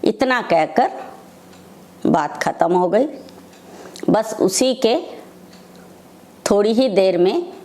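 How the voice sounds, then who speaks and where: An older woman, telling personal devotional stories as a devotee, in short phrases with pauses between them. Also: mid-range voice, expressive, dark tone. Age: older, over 60. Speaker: devotee sharing personal testimony.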